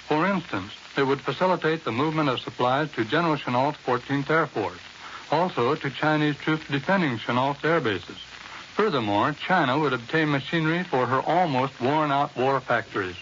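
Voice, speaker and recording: An American man giving a formal, measured, scripted war correspondent's report on 1940s radio. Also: on the high side, expressive, a bit bright, lo-fi sound. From United States. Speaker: war correspondent, interview guest. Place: New York radio studio. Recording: hissy, band-limited 1940s radio recording.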